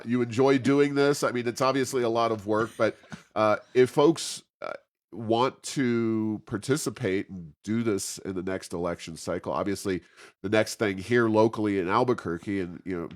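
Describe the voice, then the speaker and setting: An American man hosting a conversational interview, speaking in a halting, roundabout way with many hesitations. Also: lowish voice. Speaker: interview host.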